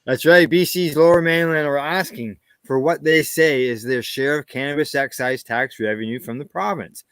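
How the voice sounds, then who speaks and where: A man's voice: on the high side, moderate pace, clear, resonant tone, no room sound. A man from Canada co-hosting a news show, reading stories steadily and chatting casually. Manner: plain, steady reading with short agreeing asides. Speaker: co-host reading cannabis news.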